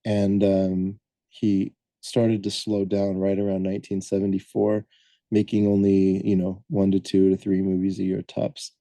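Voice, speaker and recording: A man co-hosting a podcast, talking casually and loosely with many pauses and filler sounds as he lays out background he has looked up. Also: deep voice, monotone, dark tone, no room sound. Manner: rambling, hesitant, lots of "um" and self-corrections. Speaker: podcast co-host presenting the film research.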